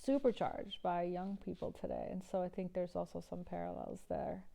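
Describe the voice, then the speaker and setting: A woman's voice: fairly low pitch, level tone, moderate pace, vocal fry, dark tone. A middle-aged woman from Iran, an environmental activist, answering interview questions earnestly with many restarts and fillers.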